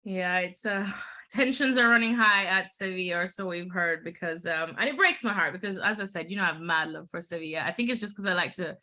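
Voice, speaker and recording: A woman hosting a football talk show, chatting with enthusiasm in a slightly hoarse voice. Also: on the low side, brisk, pushed and bright. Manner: chatty, enthusiastic, many fillers. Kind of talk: casual football chat.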